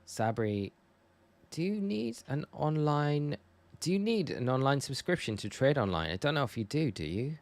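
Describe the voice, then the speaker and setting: A man's voice: fairly high pitch, big pitch swings, moderate pace. A man from northeast England with a northern English accent, chatting casually and informally to viewers as a live game streamer. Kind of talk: casual livestream chat while gaming.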